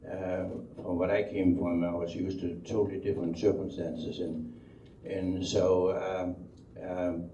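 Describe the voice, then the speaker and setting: An older man from Denmark with a Danish accent tells his life story unscripted, halting and repeating words as he rambles along. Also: deep voice, level tone. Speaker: speaker telling life story. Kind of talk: unscripted oral history storytelling. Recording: dull, roomy, distant microphone, faint noise.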